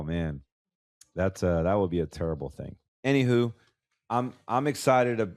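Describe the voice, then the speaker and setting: A man's voice: low-pitched, a little mellow, dry recording, studio quality. An American man with a stuffy, congested voice, hosting a conversational podcast as a financial advisor and repeating words for emphasis. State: congested from allergies. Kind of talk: solo podcast commentary, conversational.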